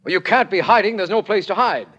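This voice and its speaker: An actor playing a bluff, puzzled official, the detective's sidekick, in a 1940s radio drama, blunt and questioning. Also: male voice, sits high, fast, a bit bright. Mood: baffled. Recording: dull vintage radio recording.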